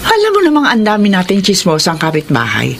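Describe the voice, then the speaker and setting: A woman's voice: medium pitch, huge pitch swings, quick pace, vocal fry, a little hiss or hum. An actress with a Filipino accent playing a wary, middle-aged mother who admonishes dramatically in a radio drama. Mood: disapproving.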